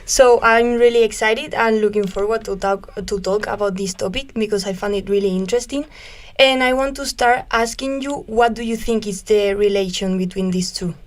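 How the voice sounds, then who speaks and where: A young woman from Spain, mid-pitched and eager, walks through science facts in a casual volunteer radio talk. She explains things warmly with a laugh here and there. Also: moderate pace. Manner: enthusiastic, explanatory, laughs easily.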